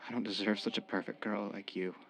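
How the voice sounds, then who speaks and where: A man's voice: on the low side, little pitch movement, fast, vocal fry. An actor in a radio drama playing a young former gangster, repentant and devoted to his sweetheart. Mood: remorseful. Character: young ex-mob tough, repentant, devoted expectant father.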